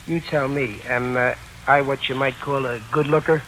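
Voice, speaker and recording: A man's voice: mid-range voice, fairly even intonation, normal speed, fairly bright tone, lightly acted. An actor playing a big, good-natured working-class tough guy in a 1940s radio drama, talking in ungrammatical street slang. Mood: wry, wistful. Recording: noisy vintage 1940s radio recording.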